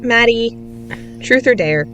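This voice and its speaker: A woman's voice: on the high side, animated, slow, fairly bright tone, highs cut off, soft background music. A woman playing a kindly, flustered young woman in an audio drama, hesitant and stammering. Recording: faint mains hum.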